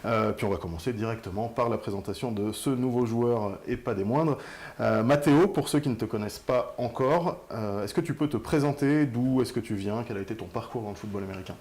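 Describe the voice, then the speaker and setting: A man's voice: mid-range voice, fairly quick, darkish tone. A man presenting an interview for a sports club web channel, speaking as the host.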